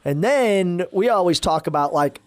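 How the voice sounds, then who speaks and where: A man's voice: high voice, lively intonation, normal speed, no room sound. A man, a parish priest chatting casually on a podcast, rambling with lots of fillers and restarts.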